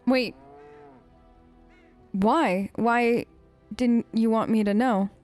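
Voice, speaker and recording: A woman's voice: fairly high pitch, fairly even intonation, extremely slow, a little mellow, soft background music. A woman let's-player improvising casual role-play replies in a chatty, rambling, playful way.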